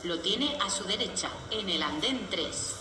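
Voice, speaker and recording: A woman voicing a patient, helpful robot at a train station, giving calm directions. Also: mid-range voice, a little slow, crowd noise, lightly acted. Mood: reassuring. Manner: reassuring, informative. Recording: echoey room with background noise.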